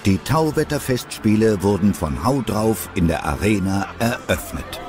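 A man's voice: lowish voice, lively intonation, normal speed, over music, close to an everyday voice. A man narrating a children's adventure radio play as a storyteller, in a scripted style.

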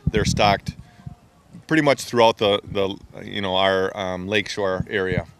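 Hesitant man, lowish voice. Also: fairly even intonation, unhurried, smooth. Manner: hesitant, many ums and restarts. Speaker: fisheries staff member. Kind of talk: explaining to an interviewer. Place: outdoors by a brick building. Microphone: handheld mic.

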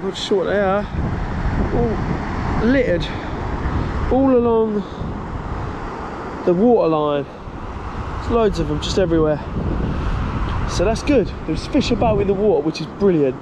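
A man's voice: high-pitched, expressive, unhurried, pauses often. A man vlogging his fishing trip on a beach, talking in a chatty, rambling way with a blocked nose from a cold. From England. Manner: chatty, rambling, self-correcting with small laughs. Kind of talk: casual vlog narration. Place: open beach by the sea. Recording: loud surf noise outdoors. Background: loud waves and wind.

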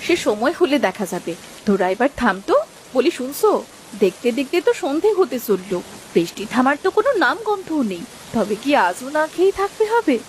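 A woman's voice: sits high, very animated, fairly quick, a little hiss or hum, rough recording. A woman playing a careworn, practical village mother in a Bengali cartoon story, earnest and entreating. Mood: fretful.

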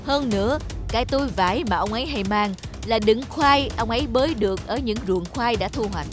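A woman plays a stern magistrate of old Vietnam in a cartoon. He is authoritative and measured, lecturing wisely over background music. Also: fairly high pitch, close to an everyday voice. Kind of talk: cartoon folk tale voice acting.

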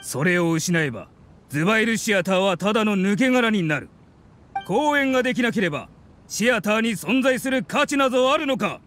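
An actor playing a haughty, scornful academy scholar in an anime game, speaking in a formal, condescending, accusatory way. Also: male voice, high voice, expressive, fairly quick, fairly bright tone, a little hiss or hum. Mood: accusing.

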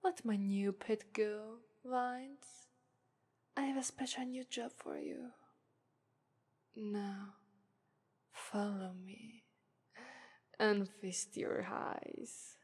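A woman's voice: medium pitch, a little mellow, full character voice. A woman voicing an arrogant, seductive female demon of lust in a whispered ASMR roleplay, with condescending, teasing taunts. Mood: smug. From Italy. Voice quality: breathy whisper, slow and teasing. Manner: condescending, purring "ara ara" taunts. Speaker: voice actress.

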